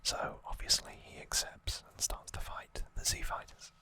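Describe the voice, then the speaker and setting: A person whispering softly throughout in an unhurried, informal, explanatory ASMR recap style. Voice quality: soft unvoiced whisper. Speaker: ASMR creator and host.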